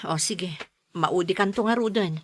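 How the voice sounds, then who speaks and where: A woman's voice: on the low side, measured pace. A woman with a Filipino Ilocano accent playing a patient, long-suffering middle-aged mother in a radio serial, pleading and soothing. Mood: caring. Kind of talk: radio serial drama acting.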